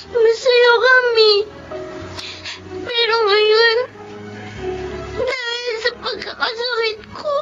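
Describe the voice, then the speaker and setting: A woman's voice: very high voice, highs cut off, exaggerated voice. A tearful sick little girl. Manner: tearful, pleading, polite. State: crying. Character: sick young girl, sad and frightened. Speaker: sick child in radio drama.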